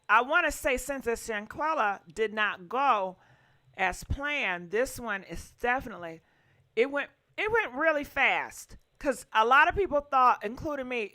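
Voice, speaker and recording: Woman, call-in host, bright tense voice, slightly high, chatty, filler words. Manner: chatty, many fillers, prompts callers. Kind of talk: casual call-in chat.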